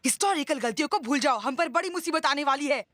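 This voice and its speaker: Cartoon boy: high, bright voice, wary, put-upon and urgent. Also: expressive, fast. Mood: alarmed. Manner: grumbling, urgent. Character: wary, put-upon young schoolboy who hates the cat. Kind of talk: Hindi cartoon dubbing.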